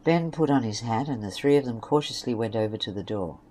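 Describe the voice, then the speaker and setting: Low-voiced woman narrator, noisy recording. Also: expressive, brisk, mellow. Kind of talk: scripted story narration.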